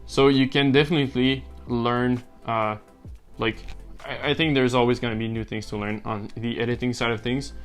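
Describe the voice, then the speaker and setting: Casting: young man, relaxed vlogger, mid-range voice, faint music underneath. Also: mid-range voice, normal speed. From French-speaking Canada. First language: French. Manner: relaxed, rambling with many uh and um fillers. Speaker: YouTuber. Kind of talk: casual talking-to-camera vlog.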